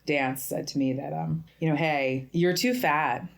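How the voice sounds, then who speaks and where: A woman's voice: low voice, measured pace, creaky. A woman in her early forties from central Pennsylvania talks as a podcast guest. Her stories land with a wry, teasing edge. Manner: wry, joking, storytelling. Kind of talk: casual chat.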